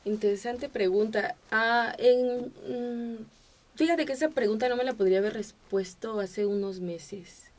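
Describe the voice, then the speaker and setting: A Mexican woman from central Mexico speaking as a podcast guest in a casual, animated conversation, acting out the remarks she quotes. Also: fairly high pitch, a little slow.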